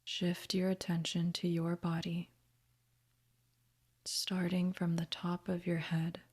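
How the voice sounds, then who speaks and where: Slow, breathy woman guiding meditation. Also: on the low side, monotone. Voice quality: soft, breathy, half-whispered. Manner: gentle, soothing, unhurried instruction. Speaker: meditation guide. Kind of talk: guided meditation narration.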